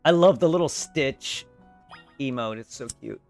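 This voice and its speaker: A man's voice: mid-range voice, lively intonation, a little slow, creaky, soft background music. A man streaming live, chatting casually with viewers, thanking them and swearing freely.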